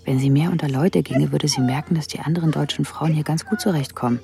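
An actress playing a wry, rebellious teenage daughter, confiding dryly and candidly in a radio drama monologue. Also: female voice, low voice, steady tone, quick pace, gravelly, dark tone. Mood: exasperated.

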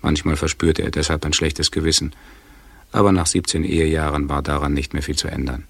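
A man reading a crime novel aloud in a calm, measured way, gravelly voice. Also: a bass voice, no echo, full character voice. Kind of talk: archive audiobook reading.

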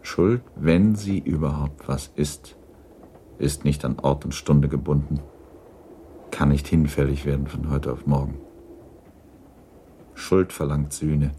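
A male narrator reading literary prose for a radio drama. His voice is extremely deep and mellow with a gravelly fry, and his delivery is sober and measured. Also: steady tone, a little hiss or hum.

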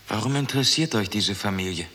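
An actor playing an anguished, defiant young captive in a 1960s German radio drama, earnest and questioning. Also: male voice, fairly low pitch, animated, brisk, sharp tone. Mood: anxious, defiant. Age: young adult. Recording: reverberant hall, 1960s radio production.

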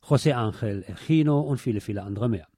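A man's voice: mid-range voice, quick pace, over music. A man presenting a film review as a show host, partly scripted.

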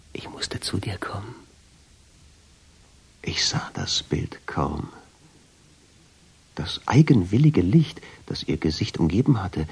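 A brooding, lovestruck man telling his own story in a radio play. His deep voice is very husky and creaky, and he narrates with deliberate dramatic intensity. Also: darkish tone, full character voice. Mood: hushed, tender. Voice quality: husky, gravelly, often sinking into whisper. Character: brooding, lovestruck male first-person narrator.

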